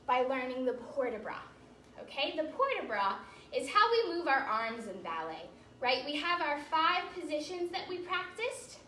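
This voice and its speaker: A woman's voice: high-pitched, a bit bright, a little hiss or hum. A woman teaching a ballet class to children, giving simple step-by-step instructions and frequent encouragement. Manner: encouraging, praising often, simple child-directed explanations. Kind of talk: instructional ballet class for kids, counting out steps. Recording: roomy echo, music in the background.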